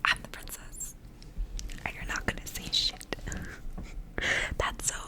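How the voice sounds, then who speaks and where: A woman doing ASMR, reading a story aloud in a soft, breathy whisper. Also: takes it slowly.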